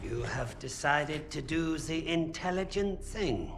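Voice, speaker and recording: An actor playing a cold, smug interrogating officer in a video game cutscene, measured, formal and condescending. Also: male voice, a little high, lively intonation, echoey room, heavy background noise.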